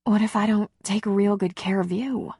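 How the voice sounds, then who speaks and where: A woman voicing a nervous, smitten, soft-spoken woman with a breathy, hesitant manner, in a romance audiobook. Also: mid-range voice, steady tone, moderate pace, no room sound, clean studio sound, voice pushed up, close to an everyday voice.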